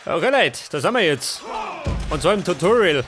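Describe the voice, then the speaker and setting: Higher-voiced Bavarian man commenting on gameplay. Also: very animated, normal speed. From Bavaria, Germany. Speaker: let's play host. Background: game music.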